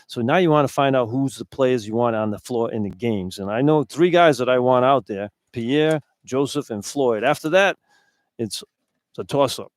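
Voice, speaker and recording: A man's voice: medium pitch, normal speed, no echo. A middle-aged or older man gives conversational color analysis on sports radio. His voice sits in the middle range and he speaks bluntly, with emphatic, colloquial phrasing. The sound is dry and close. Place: indoor arena broadcast position.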